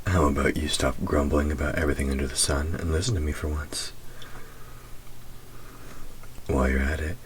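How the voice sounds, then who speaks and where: A man's voice: a bass voice, slight background noise. A man playing a taunting, predatory demon who trains a human hunter, in an audio roleplay spoken to the listener, teasing and menacing. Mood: sardonic, impatient. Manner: teasing, menacing, drops into whispers.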